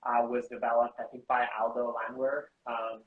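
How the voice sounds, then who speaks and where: A man from Canada and the US, co-founder of a bamboo architecture school, talking casually in an interview with halting restarts and fillers. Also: mid-range voice, flat delivery, narrow, tinny audio.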